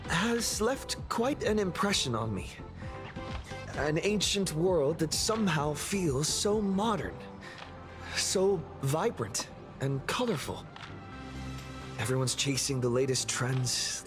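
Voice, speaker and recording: A man playing an easygoing, modest young adventurer hero who answers thoughtfully and diplomatically in a video game. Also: a little high. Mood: friendly, thoughtful. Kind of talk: scripted game voice acting. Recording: music under the voice.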